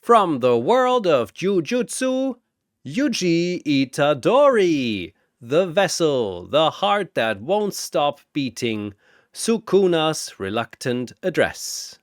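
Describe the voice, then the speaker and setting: A man voicing a bombastic cosmic arena announcer for a fantasy battle story, with theatrical, drawn-out delivery. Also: a little high, sing-song, dry recording, clean studio sound. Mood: bombastic, showy.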